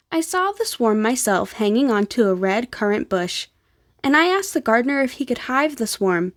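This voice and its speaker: A woman voicing a genteel, plain-spoken elderly grandmother in a storybook, telling her memories in a composed, old-fashioned way. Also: on the high side.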